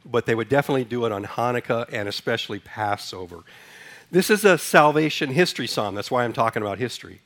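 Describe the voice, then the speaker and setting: A man's voice: medium pitch, fairly bright tone. A man from Sudan preaching a sermon to a congregation, talking casually, putting questions to them and then leading a responsive reading line by line. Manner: conversational, asks the congregation questions. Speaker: pastor.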